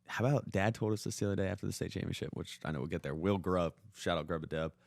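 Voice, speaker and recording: A man's voice: low voice, rapid-fire, gravelly, a little mellow, no room sound. A young American man telling sports stories in casual, slangy talk peppered with "like," as a podcast co-host. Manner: informal, slangy, heavy use of 'like'. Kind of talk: casual sports chat, storytelling.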